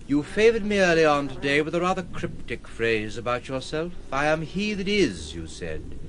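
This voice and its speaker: An actor playing a reserved, ironic gentleman with a formal, grave manner in a radio drama. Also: fairly high pitch, sing-song, a little hiss or hum. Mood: cool, ironic. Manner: formal, dry, measured.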